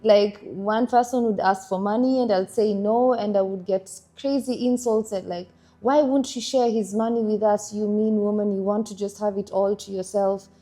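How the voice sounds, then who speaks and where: A young woman from Kenya, chatting casually about her marriage, animated and laughing now and then. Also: medium pitch, moderate pace, hardly any pauses. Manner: animated, laughs while telling stories. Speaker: interviewee, wife in a couple. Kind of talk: casual conversational storytelling.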